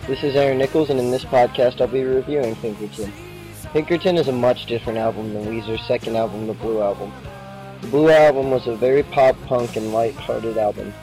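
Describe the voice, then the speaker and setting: A young man reads his scripted review in a flat, monotone way, with no conversational lift. His pitch sits in an ordinary middle range. Clear music plays beneath his voice throughout. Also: brisk, poor audio. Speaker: student podcast reviewer.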